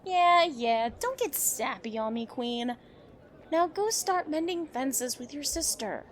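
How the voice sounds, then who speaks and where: Woman playing young vigilante sidekick, high voice, sassy, frank, teasing, supportive, audio drama. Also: lively intonation, takes it slowly.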